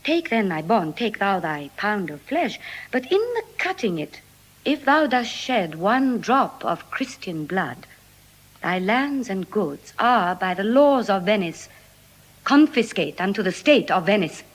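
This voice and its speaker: Slow, composed young lawyer. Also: female voice, mid-range voice, sing-song, rough recording. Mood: commanding. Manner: measured, deliberate, formal. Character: composed, shrewd young lawyer judging a court case. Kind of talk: classical Shakespearean stage acting.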